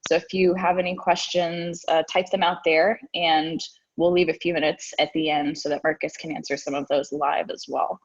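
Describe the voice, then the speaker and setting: Woman moderator with a lower voice. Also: level tone, moderate pace, lo-fi sound. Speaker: webinar moderator. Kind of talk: scripted introduction.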